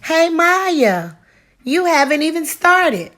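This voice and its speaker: An eager, earnest young schoolboy from a picture book, acted out by a woman reader. The voice is raised high and exclamatory, with a slow storytelling pace. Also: big pitch swings, fairly bright tone, full character voice. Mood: surprised, calling out. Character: eager, earnest schoolboy proud of following rules.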